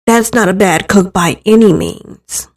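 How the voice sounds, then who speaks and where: A woman's voice: medium pitch, a little slow. A woman narrating a first-person story expressively, acting out the thoughts as she reads. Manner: acts out the narrator's thoughts, with stumbles in reading. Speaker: narrator reading a visual novel aloud.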